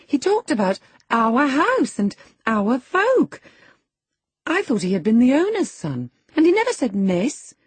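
A woman voicing a high, imperious little rich girl. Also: wildly swooping pitch, measured pace, breathy edge, dry recording, heavily acted. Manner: demanding, indignant. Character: spoiled, imperious young girl, haughty and easily tearful. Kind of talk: dramatised audiobook character.

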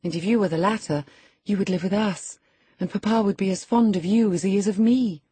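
A woman's voice: mid-range voice, a little fast, dark tone, slightly rough, no room sound, voice pushed up. A woman voicing a spirited, outspoken young girl in an audiobook story, earnest and impulsive. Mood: affectionate, earnest.

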